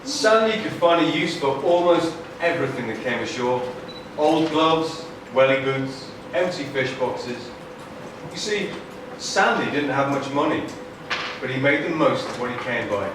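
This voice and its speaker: A man's voice: medium pitch, animated, a little slow. A man telling a wry story as narrator of a live children's puppet show. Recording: noisy echoey hall, far from mic.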